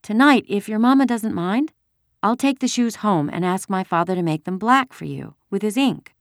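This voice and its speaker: A young girl acted by a woman reader in a children's storybook, lifted in pitch and very melodic. She sounds imaginative and helpful, with a slightly sophisticated, persuasive flair. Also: animated, moderate pace, no room sound, clean studio sound, close to an everyday voice. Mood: reassuring. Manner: sophisticated, bright persuasive delivery. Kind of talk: acted children's audiobook dialogue.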